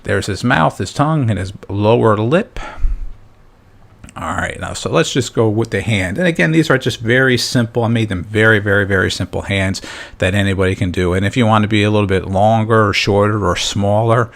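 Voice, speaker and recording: A man's voice: lowish voice, fairly quick, a bit bright. A man hosting a casual step-by-step drawing tutorial, talking informally and encouragingly as he thinks aloud while he works. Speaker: drawing instructor and host.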